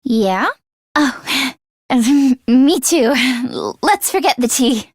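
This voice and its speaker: A woman voicing a nervous, expressive teenage girl fighter in an English video game, with casual youthful phrasing. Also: high-pitched, drawn-out words, no room sound, studio quality, exaggerated voice. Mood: cheerful.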